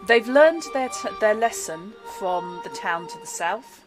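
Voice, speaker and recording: A woman narrating as game master in a chatty, explanatory way, with fillers and quick laughs. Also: mid-range voice, animated. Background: background music.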